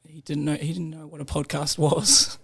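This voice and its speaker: A man's voice: a little high, normal speed, gravelly, breathy edge. A young man with a New Zealand accent, a guest telling stories in a casual podcast chat, laughing often. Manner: laughs often, relaxed storytelling with slang. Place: podcast room.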